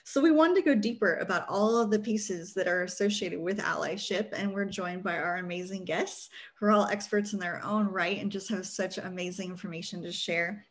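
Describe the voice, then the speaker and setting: A woman in her forties hosts a panel over a video call from a small room at home. She introduces each guest in a bright, effusive way, at a middling pitch and an easy, chatty pace. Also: moderate pace, hardly any pauses. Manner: upbeat, effusive. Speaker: host. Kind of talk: casual panel hosting.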